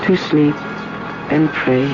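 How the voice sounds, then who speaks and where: An actress playing an anguished, worn French woman doing penance, solemn and halting, in a 1970s radio drama. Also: sits low. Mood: weary, resolute. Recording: dull 1970s radio recording with music.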